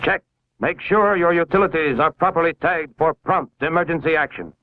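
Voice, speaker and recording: An American man with a slightly high voice reads a scripted civil defense narration in the style of a 1950s educational film. His delivery is formal and instructional, giving directions with authority. The sound is a vintage film soundtrack, recorded very dry. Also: normal speed, bright, forceful tone, no echo, rough recording. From United States.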